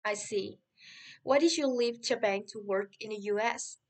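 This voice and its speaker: A man's voice: high voice, moderate pace. A man playing a friendly, upbeat podcast host in a scripted interview for English learners. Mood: cheerful.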